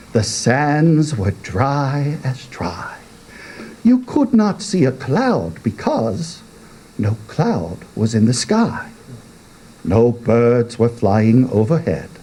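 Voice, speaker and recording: A man giving a theatrical reading with a deliberate, dramatic manner and long pauses. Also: mid-range voice, big pitch swings, mellow, husky. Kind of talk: theatrical poetry recitation.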